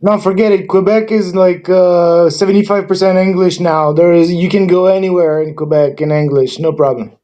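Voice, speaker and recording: High-voiced man. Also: steady tone, dark tone, dull audio. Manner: hesitant, halting, with many ums and restarts. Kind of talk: casual chat.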